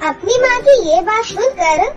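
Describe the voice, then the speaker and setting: A woman's voice: very high-pitched, expressive, a little slow, highs cut off. A woman reading scripted narration for a children's story. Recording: clear music behind the voice. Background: children's music.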